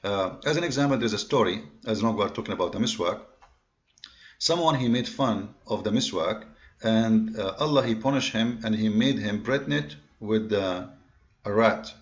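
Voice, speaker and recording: An emphatic man with an Arabic accent lectures his online audience in a fairly low voice. He numbers his points and stumbles through plenty of fillers and restarts. The recording is dull and muffled. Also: moderate pace. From Middle East. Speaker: online commentator on religion. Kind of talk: lecture-style talk to viewers.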